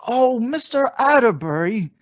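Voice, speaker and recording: An actor playing a well-meaning, befuddled husband and office worker in an old radio comedy. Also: male voice, very high-pitched, sing-song, unhurried, heavily acted. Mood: flustered, vague. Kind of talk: 1950s radio sitcom acting. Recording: dull vintage radio recording.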